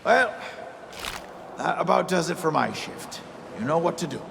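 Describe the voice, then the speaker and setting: A male actor playing a weary, casual guard with a gravelly voice in a video game cutscene. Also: a little high, big pitch swings, moderate pace, full character voice. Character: weary, casual male mansion guard ending his shift. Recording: reverberant, distant, faint noise.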